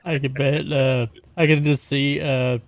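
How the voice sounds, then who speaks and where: A man's voice: mid-range voice, flat delivery, measured pace, gravelly, no room sound, studio quality. A man at a tabletop game, casually and jokingly imagining what the characters would do. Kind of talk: casual, jokey storytelling.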